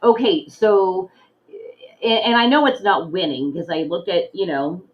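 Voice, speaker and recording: A woman in her forties or fifties hosting an interview, chatting casually and teasing her guest with quick asides. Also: lowish voice. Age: 40s to 50s. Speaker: interview host. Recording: dull lo-fi line.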